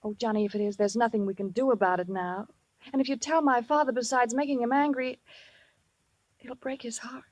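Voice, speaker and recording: A woman's voice: on the high side, a little fast, no echo, close to an everyday voice. A woman playing an earnest young woman, the host's daughter, in a 1950s radio drama. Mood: pleading.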